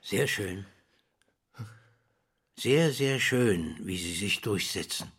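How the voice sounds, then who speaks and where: An older male actor playing a courtly, flattering school principal in a radio drama, husky, formal and measured, with weighty pauses. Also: on the low side, full character voice. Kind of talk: German radio drama acting.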